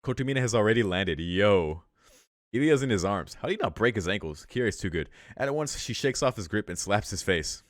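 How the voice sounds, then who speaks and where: A man's voice: fairly low pitch, lively intonation, brisk, no room sound, clean studio sound. A man reading a visual novel aloud as a streamer, with amused, slangy and sweary casual asides. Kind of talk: casual read-aloud with commentary. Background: faint game music.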